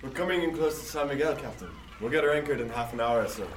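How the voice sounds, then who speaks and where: A man's voice: medium pitch, quick pace, close to an everyday voice. A man playing a genial, loyal sailor with hearty old-fashioned nautical speech in a period audio drama. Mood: dutiful. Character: genial, loyal male sailor, fond of song. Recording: roomy, distant, faint noise.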